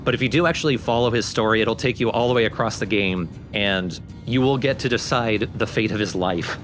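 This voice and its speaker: A man's voice: a little fast, music in the background. A man giving casual, informal gameplay commentary, explaining things as the host of a let's play. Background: game sound effects and rumble.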